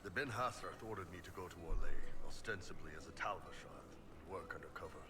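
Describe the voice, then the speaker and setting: An actor voicing a big, gruff, horned mercenary and former spy in a video game, speaking bluntly and wryly. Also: male voice, low-pitched, quick pace, background noise, close to an everyday voice. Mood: grim, candid. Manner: blunt, laconic storytelling. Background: game music.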